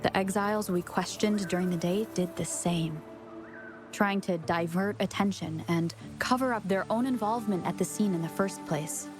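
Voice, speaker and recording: Woman playing a serious investigator, mid pitch. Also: moderate pace, music in the background, lightly acted. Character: serious, analytical female investigator explaining a case. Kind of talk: English video game voice acting.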